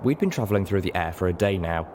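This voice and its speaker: Male audiobook narrator, very low pitch, expressive, animated intonation, faint background noise. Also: fast. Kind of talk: expressive scripted story narration.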